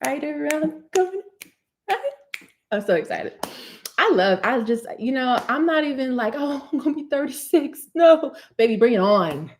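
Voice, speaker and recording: A woman in her thirties hosting a live hair care Q&A, chatty and animated, calling viewers honey and sis. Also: high voice, normal speed, a little hiss or hum. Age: 30s. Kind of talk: live stream Q&A, casual chat.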